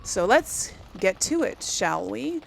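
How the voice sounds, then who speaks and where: A woman hosts a casual let's play, talking to her viewers and thinking aloud. Her delivery is slow and easygoing, with a creaky fry in a mid-pitched voice. There is faint game ambience behind her. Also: sing-song, slight background noise, rough recording. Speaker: gaming channel host.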